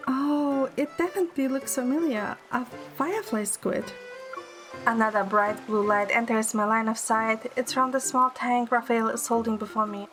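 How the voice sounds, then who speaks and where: A woman's voice: on the high side, steady tone, moderate pace, a bit bright, soft background music, lightly acted, poor audio. A woman playing a wry, affectionate young heroine who narrates her own romance in an audio drama. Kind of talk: first-person narration and dialogue in audio drama.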